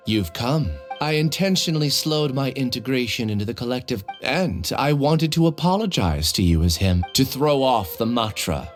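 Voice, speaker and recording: A man acts out a remorseful, resolute male member of a hive mind in a video game. His delivery is earnest and formal, with lively swings in intonation. Soft music plays underneath. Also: medium pitch, normal speed, hardly any pauses, lightly acted. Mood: earnest, confessional. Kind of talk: dramatic video game acting.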